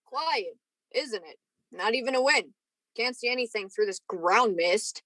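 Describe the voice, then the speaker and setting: A woman's voice: on the high side, expressive, measured pace, sharp tone, exaggerated voice. A woman playing an edgy, impatient young lieutenant in a science fiction radio play, with a casual, restless delivery. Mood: uneasy.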